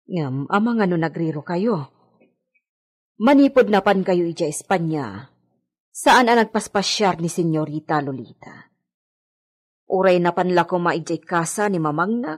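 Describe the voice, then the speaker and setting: Loyal hacienda maid , female actor, Ilocano drama. Husky, deferential, lively melodic swings. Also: fairly low pitch, full character voice. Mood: deferential, uneasy. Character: loyal, patient housemaid of a hacienda villa, woman. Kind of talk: Ilocano radio drama acting. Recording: music bed under the voice.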